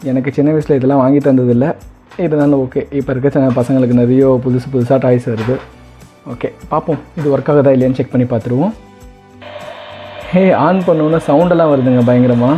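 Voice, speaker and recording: A man's voice: medium pitch, steady tone, brisk. A man hosting a casual unboxing, talking conversationally and mixing in English words. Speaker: video host. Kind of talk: casual product unboxing commentary. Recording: dull lo-fi, faint music behind. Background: faint music.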